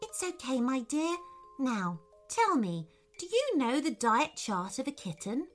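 Tiny wise storybook firefly, voiced by a woman: motherly, reassuring, high-pitched, unhurried. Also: sing-song, soft background music. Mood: kindly. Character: tiny kindly firefly, concerned, empathetic, wise teacher. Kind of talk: children's storybook character voice.

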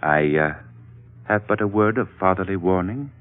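An actor playing an elderly, dignified Chinese patriarch who speaks formally and solemnly in a vintage radio drama. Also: male voice, low voice, no room sound, natural voice. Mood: grave warning. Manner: formal, stately, measured oratory. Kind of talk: 1940s radio drama acting. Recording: muffled vintage radio recording, music behind.

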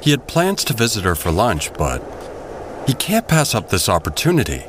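A man's voice: lowish voice, wildly swooping pitch, normal speed, background noise. A man narrating an audio drama from a script in a dramatic style.

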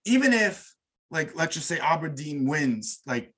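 Husky, higher-voiced man hosting a podcast. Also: big pitch swings, measured pace, fairly bright tone. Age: middle-aged. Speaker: podcast host. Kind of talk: casual chat about football.

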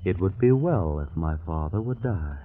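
A man's voice: low-pitched, lively intonation, normal speed. A man playing a scheming, discontented young dreamer in an old-time radio drama, with stylized, theatrical, archaic speech. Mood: brooding, coaxing. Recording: muffled old radio recording.

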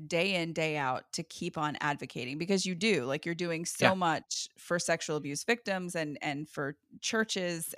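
A woman's voice: low-pitched, no room sound, clean studio sound. A woman hosting a podcast interview in a casual, chatty way, laughing easily and using lots of "like". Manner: warm, laughs easily, many "like" fillers. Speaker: podcast host.

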